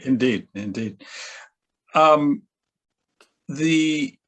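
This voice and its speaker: A man's voice: fairly high pitch, very slow, a bit bright. A man presenting and moderating a casual panel discussion in a tentative, loosely phrased way.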